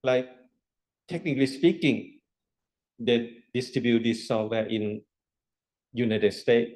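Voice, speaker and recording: Guy lecturing a class, mid pitch, asks the room questions, repeats stuff. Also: slow, a little mellow, rough recording. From Thailand. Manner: explains with rhetorical questions and repeats himself. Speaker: university lecturer.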